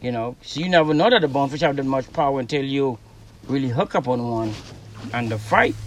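A man from the Bahamas with a Bahamian accent, a fishing guide speaking in an interview, relaxed and rambling with lots of "you know.". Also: medium pitch, far from the mic, slight background noise, poor audio. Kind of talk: recorded interview on a boat. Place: outdoors on the water by boat.

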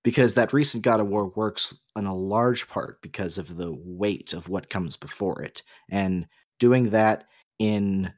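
A man's voice: fairly low pitch, measured pace, dry recording, clean studio sound. A man co-hosting a podcast, talking casually but articulately as he argues his opinions with emphatic stress. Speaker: podcast co-host.

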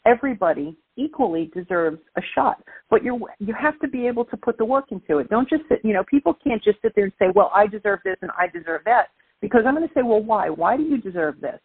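A woman's voice: mid-range voice, lively intonation, quick pace, husky, dry recording. A middle-aged woman from Brooklyn with a Brooklyn accent, a guest calling in to an interview and speaking energetically and emphatically. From Brooklyn, New York. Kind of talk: phone-in interview, casual. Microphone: phone line. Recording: tinny phone line.

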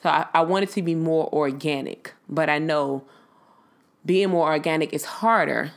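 A woman's voice: deep voice, normal speed. Nasal, congested woman podcaster, blunt and casual. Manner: candid, blunt, swears freely, addresses listeners as y'all. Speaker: podcast host. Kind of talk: casual solo podcast monologue.

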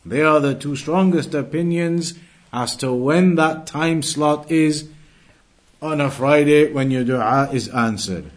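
Male religious teacher, voice a little on the high side, explanatory and didactic, restates points for emphasis. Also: slow pace. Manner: didactic, repeats and rephrases key phrases for emphasis. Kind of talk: explanatory Islamic lesson, translating quoted Arabic into English.

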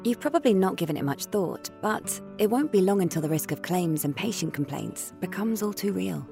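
A woman's voice: on the low side, lively intonation, a little fast, gravelly. A woman reading a scripted advertisement in a polished, persuasive voice. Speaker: ad voice-over. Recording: music bed under voice.

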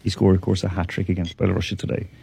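A man's voice: deep voice, flat delivery, creaky, warm tone, breathy edge. Teasing male radio quiz host. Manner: teasing, laughs often, repeats the question slowly. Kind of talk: lively radio quiz banter.

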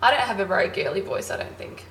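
A woman's voice: mid-range voice, very animated, quick pace, fairly bright tone, slight background noise. An Australian woman with a slight lisp co-hosting a podcast, chatting casually, often giggling and thinking aloud. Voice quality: slightly lispy. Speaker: podcast co-host. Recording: roomy echo, faint ambience.

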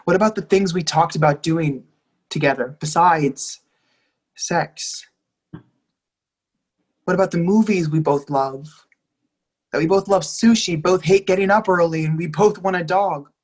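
A woman's voice: lowish voice, measured pace, pauses often. A woman in a play reading, playing a young lover who is heartbroken and pleading, hurt and sarcastic by turns. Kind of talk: readers theatre play reading.

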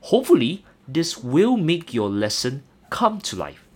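A young man with a Singaporean accent hosting a solo personal finance podcast, earnest and measured, with occasional hesitant fillers. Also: a little high, wildly swooping pitch, darkish tone, slightly rough. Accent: Singaporean English. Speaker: podcast host.

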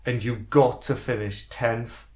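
Mid-pitched man in casual podcast chat. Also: fairly even intonation, unhurried, darkish tone. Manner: informal, opinionated, swears casually. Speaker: podcast co-host. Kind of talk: casual chat about retro video games.

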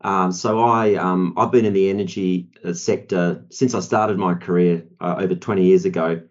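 A man's voice: sits low, steady tone, moderate pace, darkish tone, highs cut off. A man in his forties with an Australian accent, a guest on a podcast, talking with many ums and you-knows. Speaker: law firm partner, guest. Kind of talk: podcast discussion.

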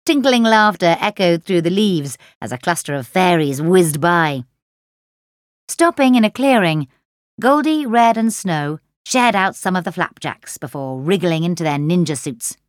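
Children's audiobook narrator: woman, fairly low register, expressive, close and dry studio sound. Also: unhurried, no echo. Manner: expressive storytelling. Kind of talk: scripted children's story narration. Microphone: close studio microphone.

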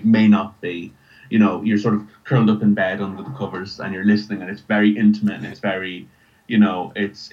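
A man's voice: on the low side, lo-fi sound. An Irish man talking casually as an interview guest, hesitant, often repeating words and restarting his phrases. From Ireland. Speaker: writer and podcast creator, interview guest.

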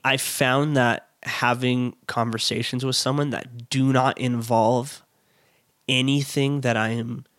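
A man in his late twenties talking as an interview guest, thoughtful and halting, often restarting. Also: mid-range voice, flat delivery, a bit bright, dry recording, clean studio sound. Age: late 20s. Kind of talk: casual interview chat.

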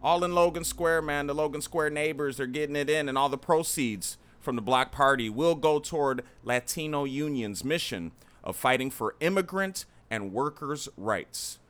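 A man hosting a casual radio chat show, upbeat and friendly, giving shout-outs. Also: on the high side, moderate pace, few pauses, clear, resonant tone.

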